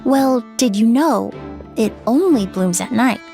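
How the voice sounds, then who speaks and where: A composed, clever perfumer-investigator, with a fairly high, calm and precise voice that lays out details methodically. Faint music plays beneath. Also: female voice, measured pace, vocal fry. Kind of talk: video game voice acting. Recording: game audio with faint music.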